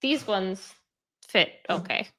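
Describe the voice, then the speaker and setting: A woman's voice: mid-range voice, takes it slowly, fairly bright tone. A woman hosting a casual chat about hobbies. She talks in a rambling way, with many fillers, and sounds a bit congested. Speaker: host. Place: at home, sitting in bed.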